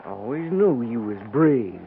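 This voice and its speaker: An actor playing a resigned frontier soldier with a rural American accent, dry and laconic, in a 1950s radio drama. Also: male voice, medium pitch, sing-song, a little slow. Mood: wry, resigned. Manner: laconic, wry. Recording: tinny, band-limited, noisy 1950s radio recording.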